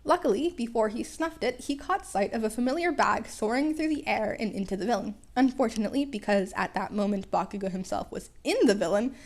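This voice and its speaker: A woman's voice: on the high side, expressive, fairly quick, hardly any pauses, darkish tone. A woman narrating a story in a casual amateur style, with wry, playful asides and stumbles over the text. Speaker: podfic reader.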